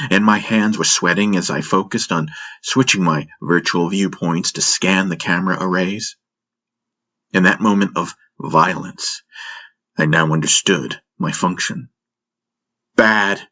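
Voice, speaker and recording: Deep, slow, husky male audiobook narrator. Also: fairly even intonation, bright, forceful tone, muffled recording. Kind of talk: dramatic scripted first-person narration. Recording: music bed under the voice.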